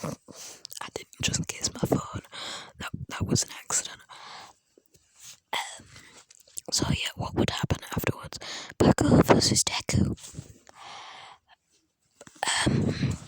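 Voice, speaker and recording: A person speaking in a breathy, unvoiced whisper, telling an improvised fan story in a rambling, halting way, close to a phone. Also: no room sound, poor audio. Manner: rambling, halting, acting out characters' dialogue in passing.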